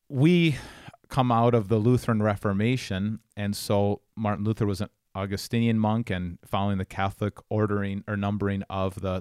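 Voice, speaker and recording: A man's voice: deep voice, a little mellow, no room sound, studio quality. A man co-hosting a podcast, talking casually and explaining things as he goes. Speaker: podcast co-host.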